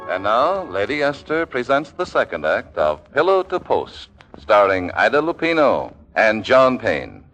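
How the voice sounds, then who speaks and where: A man's voice: on the low side, wildly swooping pitch, a little slow, hardly any pauses, poor audio. A man announcing a 1940s radio program in formal scripted style. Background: loud music.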